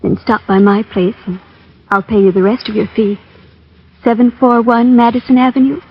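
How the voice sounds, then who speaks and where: A teasing, wealthy young woman in a vintage radio drama. Her voice is soft and breathy and her delivery coy. The broadcast sound is dull and old. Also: a little high, flat delivery, moderate pace. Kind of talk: 1950s radio drama acting.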